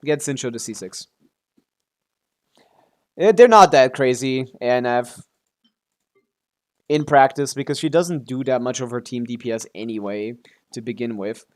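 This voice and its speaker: A man's voice: medium pitch, measured pace, pauses often. A man giving casual, offhand live stream commentary on a game, thinking aloud as he answers chat and swearing casually.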